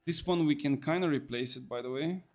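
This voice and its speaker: Give me an excitable young man from Bulgaria, commenting on his gameplay, with music playing behind. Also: fairly high pitch, a little fast. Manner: excitable, repeats words, says bro. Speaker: game streamer.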